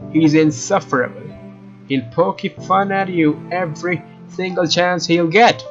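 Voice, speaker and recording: A man's voice: a little high, a bit bright. A man hosting a let's play, reading a visual novel's story narration aloud. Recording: dull, lo-fi microphone sound. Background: guitar game music.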